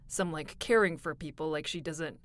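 A female voice actor talking thoughtfully about her character, often pausing to find words. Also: deep voice, no echo. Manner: thoughtful, trails off in pauses. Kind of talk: casual behind-the-scenes chat.

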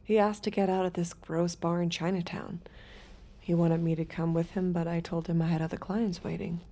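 An actress playing a shaken, secretive young woman in an intimate audio drama, with hushed, halting, emotional delivery. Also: fairly low pitch, flat delivery, close to an everyday voice. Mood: guarded, reassuring. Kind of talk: naturalistic audio drama acting.

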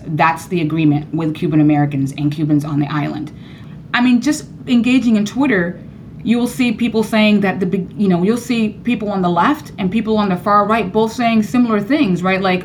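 A Cuban-born woman professor speaking earnestly as a radio interview guest, growing animated when she presses a point. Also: a little hiss or hum. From Cuba. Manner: earnest, animated, rising high when emphatic.

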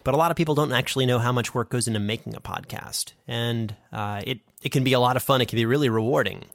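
A man's voice: mid-range voice, fast talker, gravelly, no echo. Casual male interviewer, very dry sound. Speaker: interviewer and audio drama podcast creator.

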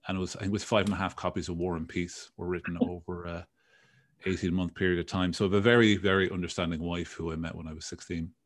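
A man around forty speaks with a Dublin Irish accent in a very low voice. He talks casually and conversationally, like an entrepreneur telling his own story on a podcast. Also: flat delivery, fast. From Dublin, Ireland. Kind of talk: casual autobiographical interview talk.

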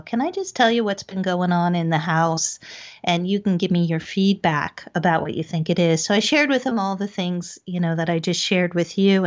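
A woman speaking as an interview guest, recounting her experiences in a conversational storytelling manner. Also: on the low side. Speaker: guest, paranormal investigator. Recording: dull lo-fi call audio.

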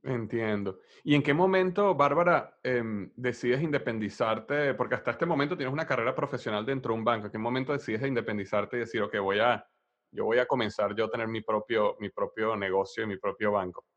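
Podcast host: man, medium pitch, conversational and a bit halting as he frames questions, slightly muffled sound. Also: fairly bright tone. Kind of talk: conversational interview.

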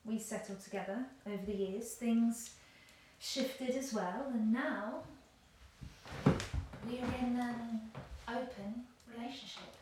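A regretful, cultured former pianist in an audio drama, confiding in hesitant, rueful phrases. Her voice is fairly high, set back in a very echoey room with faint noise. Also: slow, long pauses, far from the mic. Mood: confessional, dissatisfied. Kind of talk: audio play acting, confessional monologue.

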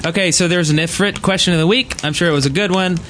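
A man's voice: high-pitched, fast, a bit bright. A man hosting a casual live podcast chat, recorded in a convention room with people around. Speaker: podcast host. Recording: noisy convention room with background chatter.

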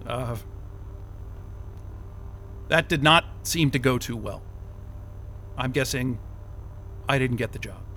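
An actor playing a flustered, cynical jobless tech worker in an audio drama, blurting and halting as he is questioned. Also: medium pitch, bright, forceful tone, a little hiss or hum. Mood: dejected. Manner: exasperated, sweary, blurting and halting. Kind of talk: naturalistic audio drama acting.

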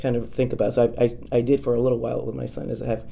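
A woman's voice: very deep voice, level tone, racing, gravelly, dark tone. A middle-aged American woman hosting a casual interview, speaking in a reflective, encouraging way. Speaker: interviewer, art teacher.

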